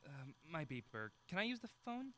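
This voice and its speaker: Audio drama friend: young film fanatic, playful, mock-formal, widely varied intonation. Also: male voice, on the high side, big pitch swings, moderate pace, sharp tone. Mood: awkward, subdued. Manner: bantering, mock-formal, teasing.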